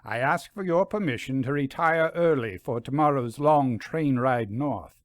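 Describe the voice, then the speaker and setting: A man voicing a courtly, pompous gentleman scholar and world traveler in an audiobook, with formal, mannered speech. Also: medium pitch, a little slow, no echo, lightly acted. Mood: polite, fussy. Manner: formal, mannered, ends on "what?".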